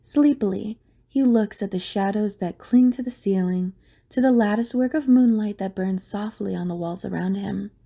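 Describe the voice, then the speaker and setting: Mellow-voiced woman narrating a drama. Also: mid-range voice, moderate pace, creaky.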